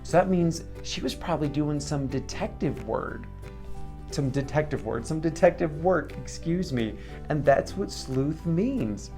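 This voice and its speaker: A man's voice: a little high, lively intonation, a little slow, music in the background. A man hosting a children's storytime, talking casually and reflectively to young viewers in an encouraging, questioning way. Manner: encouraging, asks the listener questions.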